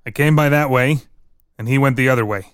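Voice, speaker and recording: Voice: medium pitch, a little fast, clean tone, dry recording, clean studio sound, natural voice. An actor reading the part of an evasive prisoner, a German immigrant murder suspect, giving short guarded answers in a dramatic reading. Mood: evasive, terse. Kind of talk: dramatic reading of an interrogation transcript.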